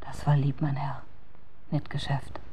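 An outcast woman of ill repute in a radio drama. Her voice is low, dark and slow, sinking into a breathy half-whisper. She speaks archaic, poetic words with bitterness and tenderness. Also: monotone, a little hiss or hum. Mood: tender, hushed. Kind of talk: German radio drama acting.

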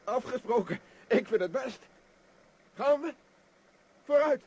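A man's voice: extremely high pitch, lively intonation, takes it slowly, darkish tone, exaggerated voice. An actor playing a lost, restless man seeking escape in a radio play. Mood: urgent, reckless. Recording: hissy old radio recording.

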